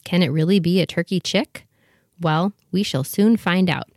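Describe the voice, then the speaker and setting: A woman's voice: fairly low pitch, normal speed, darkish tone, no echo, clean studio sound. A woman playing a proud, fussy, protective mother duck in a storybook dramatization, bustling and motherly as she instructs. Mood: stubborn, musing. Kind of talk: full cast storybook dramatization.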